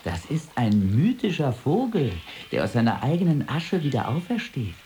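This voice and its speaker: Nosy mellow schoolboy, lively pitch. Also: male voice, moderate pace, faint music behind. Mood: showing off. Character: inquisitive, teasing teenage schoolmate. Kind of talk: radio drama acting.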